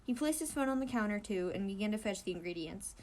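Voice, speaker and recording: A woman's voice: medium pitch, expressive, fast, a little mellow. A woman reading a story aloud informally, sometimes stumbling and correcting herself. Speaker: amateur fan fiction reader.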